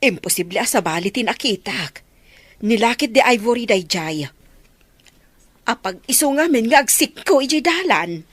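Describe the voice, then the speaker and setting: A woman's voice: a little high, animated, normal speed, husky, no room sound. A woman playing an insistent neighbour bringing news in an audio drama, with a northern Philippine accent.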